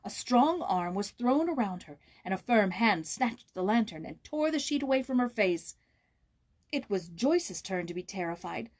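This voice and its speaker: Husky-voiced woman reading a story dramatically. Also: medium pitch, normal speed. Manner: theatrical, expressive. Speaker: audiobook reader, voice actor. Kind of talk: dramatic scripted storybook narration.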